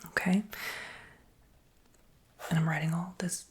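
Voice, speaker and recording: An American man whispering an ASMR roleplay as a salesperson, softly and reassuringly explaining a product without hurry, in a breathy, husky whisper. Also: a little high, flat delivery, slight background noise. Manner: soft, unhurried, reassuring explaining.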